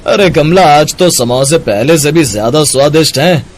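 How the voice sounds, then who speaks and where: A man voicing a friendly, complimentary customer at a food stall in an animated moral story. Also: on the high side, normal speed, a little hiss or hum, lightly acted. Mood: pleased. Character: appreciative male samosa-stall customer.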